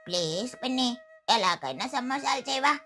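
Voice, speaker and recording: A woman's voice: high voice, lively intonation, moderate pace, a bit bright. A woman voicing a hungry little child parrot who pleads eagerly in a cartoon story. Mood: pleading. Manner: eager, wheedling. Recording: music behind the voice.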